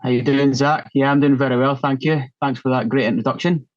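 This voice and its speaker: A man's voice: medium pitch, steady tone, brisk, muffled recording. A man from northeast Scotland with a Scottish accent, explaining things conversationally as a podcast guest and fitness trainer.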